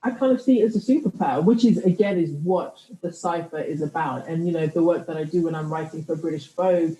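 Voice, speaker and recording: A woman's voice: low-pitched, fast talker, mellow, roomy sound, poor audio. A woman from the United Kingdom, a writer and podcaster, talking in a thoughtful interview and often restarting her sentences. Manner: reflective, thoughtful, many restarts. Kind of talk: conversational interview.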